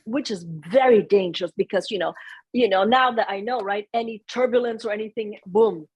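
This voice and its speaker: A soft-spoken middle-aged woman speaking as a guest in an interview, chatting about family, sometimes slipping into Vietnamese phrases. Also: mid-range voice, fairly quick, breathy edge. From United States. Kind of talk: conversational interview.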